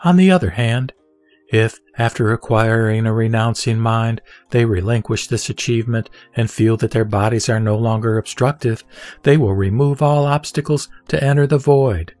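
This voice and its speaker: A man giving a measured, solemn reading of a Buddhist scripture. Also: mid-range voice, dry recording. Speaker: sutra reciter. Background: soft background music.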